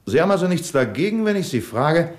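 A man playing a calm, probing police commissioner in a 1970s radio drama, with measured, pointed questions. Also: a little high.

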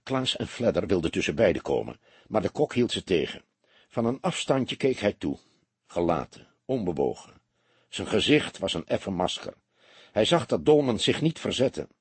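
Male narrator, for crime audiobook: lowish, raspy, animated, very dry close sound. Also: moderate pace, no echo. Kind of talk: dramatic scripted narration of a detective novel.

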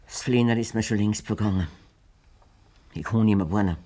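An actress playing a worn-down but stubborn middle-aged farmer's wife with a Bavarian accent in a German radio play. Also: female voice, a bass voice, moderate pace, dark tone. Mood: bitter, weary. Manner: stubborn, bitterly sarcastic.

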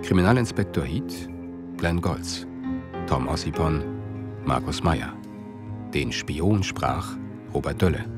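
Extremely deep male radio announcer. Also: lively intonation, slow, pauses often. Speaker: announcer reading credits. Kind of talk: formal scripted radio announcement. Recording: loud music behind the voice.

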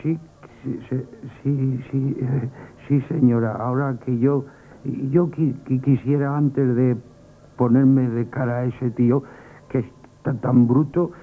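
A man's voice: medium pitch, steady tone, slow, a little hiss or hum, close to an everyday voice. A man from Spain with a Spanish accent playing a timid, hesitant, comically frightened young working-class shop boy in a radio play. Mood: nervous, pleading. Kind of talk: 1970s Spanish radio comedy theatre acting. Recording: tinny, band-limited old radio broadcast.

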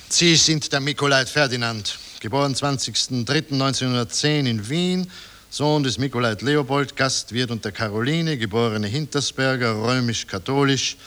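An actor playing a pompous, overbearing Austrian examining magistrate in a radio drama, pedantic and sarcastic. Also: male voice, mid-range voice, normal speed, a bit bright, close to an everyday voice. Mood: officious, sarcastic. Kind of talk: 1960 radio drama acting. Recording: reverberant hall-like room.

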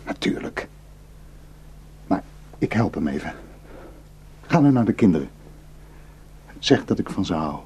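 A man's voice: deep voice, sing-song, unhurried, pauses often, warm tone, husky, exaggerated voice. An actor in a radio drama playing a protective, anxious village shepherd and father, speaking in terse, urgent instructions. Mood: urgent, tender. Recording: noisy radio play recording.

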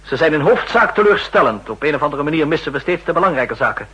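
An actor playing a driven counter-intelligence official, formal, pointed and emphatic, in a 1960s radio drama. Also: male voice, on the high side, big pitch swings, fast, sharp tone, exaggerated voice. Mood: insistent, biting. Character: driven, insistent male counter-intelligence official making accusations. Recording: rough old 1960s radio recording.

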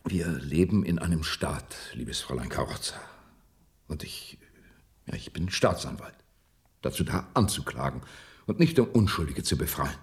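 Gravelly, grave prosecutor. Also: male voice, a bass voice, animated. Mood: grave, formal. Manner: measured, restrained. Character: grave, formal, dutiful male public prosecutor. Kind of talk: German radio drama acting.

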